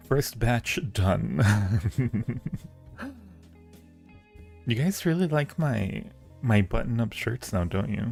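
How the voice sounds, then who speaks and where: A man's voice: on the low side, vocal fry, a little mellow. A man hosting a live-stream Q&A, answering viewer questions casually with a playful, teasing and flirty manner. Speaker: audio roleplay creator hosting a live Q&A.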